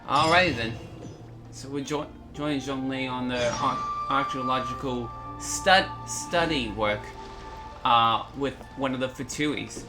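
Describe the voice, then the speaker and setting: A man's voice: mid-range voice, a little slow, over music. A man hosting a gaming video with casual commentary, stumbling over words and reading dialogue aloud.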